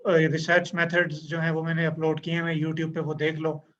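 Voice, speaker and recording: A man's voice: fairly high pitch, completely flat delivery, moderate pace, a bit bright. A man hosting a casual online interview, chatty and agreeable, with joking asides. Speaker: host. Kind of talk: casual Urdu-English interview. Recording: dull lo-fi sound with faint noise.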